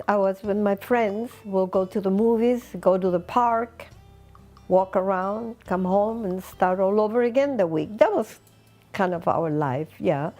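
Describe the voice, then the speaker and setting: A woman in her eighties from Peru, a Spanish speaker, reminiscing in an unhurried way with pauses between short phrases. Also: medium pitch, mellow. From Lima, Peru. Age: 80s. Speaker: interviewee recounting personal history. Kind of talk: reminiscing oral history interview.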